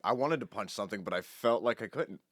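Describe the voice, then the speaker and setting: A man's voice: fairly low pitch, expressive, fast, fairly bright tone, no echo, studio quality. A man co-hosting a casual podcast, chatty and laughing mid-sentence. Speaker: podcast co-host. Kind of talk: casual chat.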